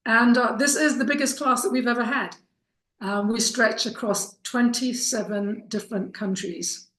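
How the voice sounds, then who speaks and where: A middle-aged woman from Kenya gives an informal introductory talk as a course instructor, earnest and deliberate, telling her story with pauses. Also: on the high side, steady tone, fairly bright tone. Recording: echoey room.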